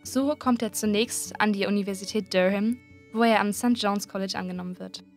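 A woman narrating a documentary in an even, informative reading style. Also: medium pitch, a little fast, faint music behind.

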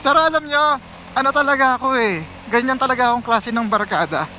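A Filipino man talks casually to his viewers while riding. His voice sits high and has a bright, sharp edge. He drops English phrases into his conversational flow, with some road noise behind him. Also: quick pace. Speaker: motorcycle vlogger. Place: outdoors, riding a motorcycle on city roads.

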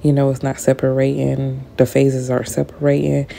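A woman's voice: very deep voice, completely flat delivery, moderate pace, vocal fry, mellow, slight background noise. A woman, narrating a skincare tutorial in a casual, explanatory voice-over, sounding stuffy as she gets over an illness. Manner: casual, explanatory, addresses viewers directly.

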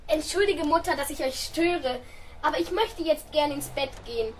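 A woman's voice: sits high, normal speed, slight background noise, natural voice, low-quality recording. A woman playing an extremely polite seven-year-old boy in a children's radio play, speaking formally and precisely. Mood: polite, earnest. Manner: formal, precise, overly courteous. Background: music.

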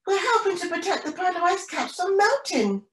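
Woman voicing an excited little girl penguin, high-pitched. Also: measured pace, reverberant. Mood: excited, calling out. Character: excited young girl penguin, eager and helpful. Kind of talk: children's storybook reading.

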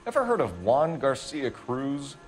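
An American actor playing an earnest, stern young police detective in a video game, with a clipped, interrogating manner. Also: male voice, medium pitch, huge pitch swings, a little slow, faint music behind. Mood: businesslike. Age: young adult.

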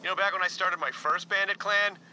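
A man's voice: sits high, steady tone, fairly quick, sharp tone. An actor playing a boastful, goofy male bandit leader in a video game who talks like a bro. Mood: chummy. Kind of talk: video game character dialogue. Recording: game audio under noisy gameplay.

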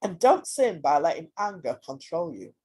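Husky woman reading scripture expressively. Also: deep voice, big pitch swings, moderate pace, warm tone. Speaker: scripture reader.

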